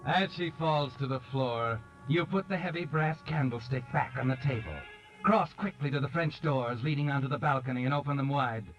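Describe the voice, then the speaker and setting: An actor playing an ominous, knowing narrator in a 1940s radio mystery, insinuating and dramatic, addressing the listener as the culprit. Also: male voice, medium pitch, normal speed, natural voice. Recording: dull vintage 1940s radio recording. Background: dramatic music.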